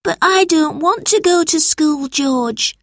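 A woman's voice: high voice, slow pace, dry recording, clean studio sound, pitched above the performer's own voice. A woman voicing a small, timid young boy who whines and fusses, in a storybook reading. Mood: whiny. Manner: whining, fussing.

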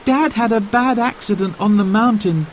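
A man's voice: high voice, normal speed, slight background noise, voice pushed up, natural voice. A man voicing a frightened young girl in a storybook reading. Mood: urgent. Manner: anxious, pleading.

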